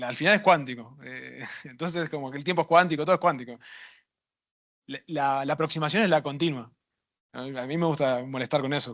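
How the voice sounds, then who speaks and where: A man answering questions at a workshop, relaxed and thinking aloud with small jokes. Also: a little high. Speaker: workshop instructor. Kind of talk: casual lecture Q&A.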